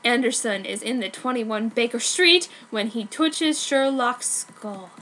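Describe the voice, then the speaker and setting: A woman's voice: a little high, a little slow, fairly bright tone. A woman giving a mock-serious, exaggerated dramatic reading of comic fan fiction. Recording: noticeable background noise, amateur recording.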